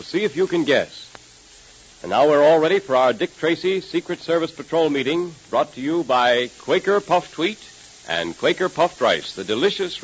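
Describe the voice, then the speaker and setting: A man announcing a 1930s radio show and pitching its sponsor with emphatic delivery. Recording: muffled, hissy 1930s radio recording.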